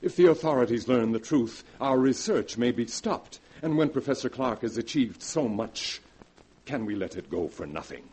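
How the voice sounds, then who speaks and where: An actor playing a calm, scheming male scientist in a 1940s radio drama, speaking in a measured, persuasive way. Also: medium pitch, husky.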